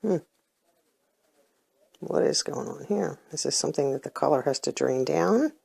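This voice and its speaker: A middle-aged woman with a hoarse voice from a cold, chatting casually as she reviews beauty products and often pauses with ums. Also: sits low, expressive, darkish tone. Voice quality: hoarse, clears her throat. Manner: chatty, plainspoken, hesitant with ums. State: getting over a cold, losing her voice. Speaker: unboxing vlogger.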